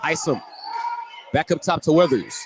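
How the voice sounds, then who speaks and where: Male radio basketball announcer, high-pitched, descriptive play-by-play, clear gym noise in the background. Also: moderate pace, crowd noise. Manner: detailed, descriptive calling, shouting on big plays. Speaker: play-by-play radio sports commentator. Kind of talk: live basketball play-by-play commentary. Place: indoor basketball gym, courtside broadcast. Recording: loud gym noise behind commentary. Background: loud ambient game noise.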